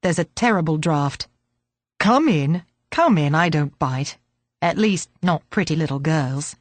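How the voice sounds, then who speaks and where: A frail old man with a low, creaky, growling voice, as a woman reading aloud puts him on. He grumbles gruffly yet kindly, in a dry, close storybook reading. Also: expressive, a little slow, dry recording. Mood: grumbling.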